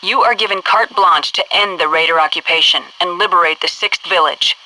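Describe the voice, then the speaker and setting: A commanding American female military officer briefs a squad in a video game. Her voice is very bright and forceful, composed and clipped. It comes through a radio filter, sounding set back from the mic. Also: lowish voice, a little fast, far from the mic, low-quality recording. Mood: composed, authoritative. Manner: clipped, authoritative, formal. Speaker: mission control officer.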